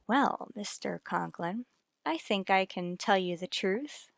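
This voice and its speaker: An actress playing a wry, wisecracking English teacher in a vintage radio comedy, delivering sardonic, deadpan quips. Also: female voice, medium pitch, slow pace, vocal fry, no room sound, studio quality.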